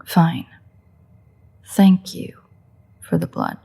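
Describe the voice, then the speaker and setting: A sardonic female vampire played by a woman speaks close and intimately, as in a roleplay audio drama. Her voice is slightly low and breathy-husky, with plenty of gravelly creak. She is dry, teasing and mocking, with grudging exasperation and a crude edge. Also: wildly swooping pitch, very slow, dark tone, full character voice. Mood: grudging, disgusted.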